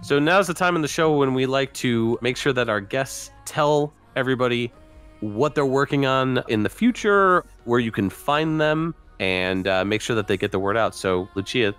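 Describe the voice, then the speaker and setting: A man's voice: medium pitch, lively intonation, moderate pace, fairly bright tone. A man from the United States with an American accent, chatting casually as a podcast host. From Connecticut, United States. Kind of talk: casual chat. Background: music.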